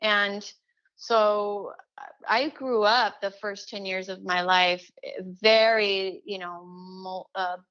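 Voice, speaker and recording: A middle-aged woman from Chile talking as an interview guest, animated, with many restarts and fillers. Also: medium pitch, slow pace, smooth, lo-fi sound. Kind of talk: casual interview chat.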